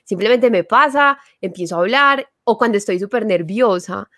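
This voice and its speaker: A woman's voice: mid-range voice, normal speed, pushed and bright, no echo, clean studio sound. A Colombian woman, a podcast guest, chatting casually with lots of fillers. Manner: chatty, filler-heavy, self-deprecating. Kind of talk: casual chat.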